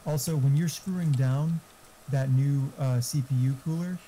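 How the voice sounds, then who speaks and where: Mellow man rambling through casual advice. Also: medium pitch, normal speed, a little hiss or hum, poor audio. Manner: rambling, explanatory, swears freely. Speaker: gamer friend giving tech advice. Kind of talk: casual chat during a game stream.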